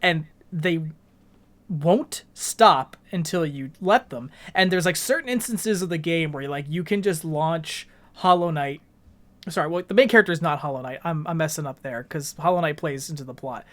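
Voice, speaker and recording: A man's voice: a little high, normal speed. Guy hosting a gaming podcast, chatty but articulate, explains stuff as he goes. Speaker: podcast host. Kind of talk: casual, explanatory video game discussion.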